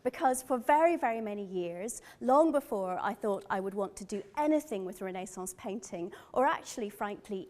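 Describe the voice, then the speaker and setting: A woman's voice: medium pitch, a little mellow. A middle-aged woman from Northern Ireland with a Northern Irish accent, giving an earnest, enthusiastic gallery lecture as a museum curator.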